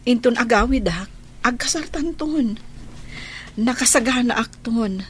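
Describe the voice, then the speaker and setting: A woman's voice: a little high, expressive, measured pace, slight background noise. A woman acting in a Filipino radio drama as a devoted, reassuring eldest sister in her thirties, with an Ilocano accent. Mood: earnest, resolute. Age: 30s. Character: devoted, self-sacrificing eldest daughter in her thirties going abroad.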